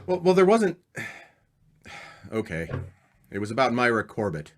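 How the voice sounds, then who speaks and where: A man playing an awkward, evasive, devoted husband in an old-time radio play, halting in his delivery. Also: mid-range voice, sing-song. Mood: nervous, evasive. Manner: hesitant, halting.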